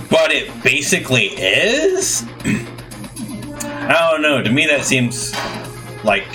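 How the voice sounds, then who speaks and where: Rambling man over game music. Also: mid-range voice. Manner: rambling, opinionated, self-correcting. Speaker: gaming channel host. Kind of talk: casual let's play commentary.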